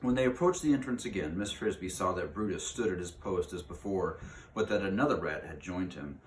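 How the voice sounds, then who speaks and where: A man with a fairly low voice reads a children's novel as narrator. He occasionally stumbles and rereads. The room is echoey and he sounds a bit away from the mic. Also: expressive, a little fast, distant mic, slight background noise. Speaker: read-aloud narrator. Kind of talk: reading a children's novel aloud.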